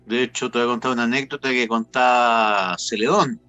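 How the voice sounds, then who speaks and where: A man's voice: medium pitch, steady tone, brisk, bright, forceful tone, clean tone, no room sound, faint music behind. A man with a Chilean accent hosting a casual interview, chatting colloquially and telling anecdotes with animation. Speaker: interview host.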